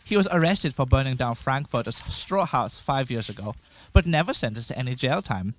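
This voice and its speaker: A man's voice: mid-range voice, animated, a little fast, lightly acted. A man acting a fussy, earnest baby-faced pig in a comic radio play. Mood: earnest, aggrieved. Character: fussy baby-faced pig, bereaved brother, storybook character.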